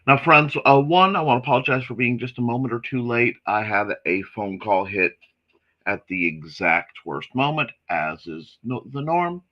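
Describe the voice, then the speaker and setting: A man hosting a casual morning livestream, his voice a bit hoarse and scratchy, talking in an apologetic, rambling way with lots of fillers. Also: mid-range voice, animated, moderate pace, dull audio. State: slightly hoarse from a reaction. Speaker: host of a creative morning show.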